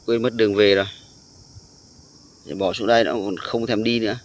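Low-pitched man casually narrating a nature vlog. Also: fairly even intonation. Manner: halting, informal, explanatory. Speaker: vlogger. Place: outdoors on a sandy tidal beach.

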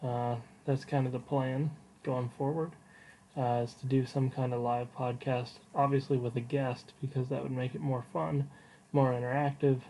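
A man hosting a casual solo podcast, giving an update in an informal, unscripted, halting way. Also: medium pitch, little pitch movement, warm tone, slight background noise, poor audio. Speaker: podcast host.

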